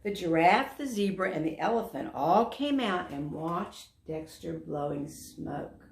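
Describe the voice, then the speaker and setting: A woman volunteer narrating a children's story aloud in an expressive, emphatic storytelling manner. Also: on the low side, unhurried, a little hiss or hum. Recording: roomy echo.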